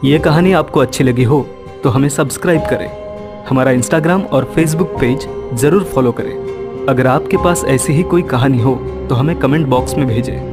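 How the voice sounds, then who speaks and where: A man's voice: medium pitch, normal speed. A man giving a scripted announcement as a channel announcer. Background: music.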